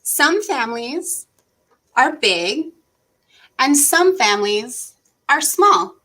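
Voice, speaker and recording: A woman's voice: high-pitched, very animated, slow, bright, forceful tone. A woman hosting an interactive read-aloud for young children, speaking in an encouraging way with simple phrasing. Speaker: children's librarian and story time host.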